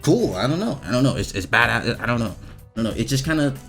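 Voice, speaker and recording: A man giving casual, off-the-cuff commentary as he reviews game voice lines, talking in a halting, repetitive, slangy way with some swearing. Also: mid-range voice, big pitch swings, a bit bright, soft background music.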